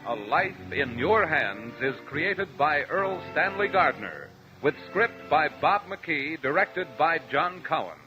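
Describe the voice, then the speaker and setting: A man's voice: fairly high pitch, sharp tone. A man with an American accent announcing in a polished, formal vintage radio style. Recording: dull old radio broadcast.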